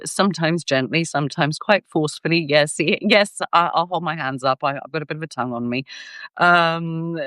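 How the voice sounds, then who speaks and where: A woman from Greece, a guest scholar, talking in a casual interview in an animated, emphatic way, often restarting her sentences. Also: fairly low pitch, no room sound.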